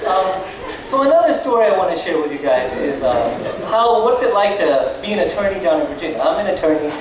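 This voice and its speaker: A man's voice: high-pitched, very animated, fast talker. A young man telling stand-up stories at an open mic in a hesitant, self-deprecating way. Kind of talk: stand-up storytelling to an audience. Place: nightclub stage at an open mic. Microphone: picked up from a distance in the room. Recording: echoey nightclub, far mic, noisy crowd.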